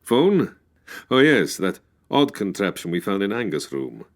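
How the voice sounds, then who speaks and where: An officious police official from a forties-style radio mystery. His voice is very deep yet moves through wide swings of pitch, formal and precise in every phrase. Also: big pitch swings, unhurried. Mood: puzzled. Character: formal, exasperated male Cairo police official. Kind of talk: 1940s detective radio drama pastiche.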